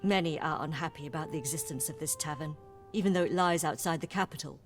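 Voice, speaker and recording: A woman playing a composed, courteous officer of an empress in a fantasy video game, speaking in measured, archaic, formal phrases. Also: low-pitched, soft background music, close to an everyday voice.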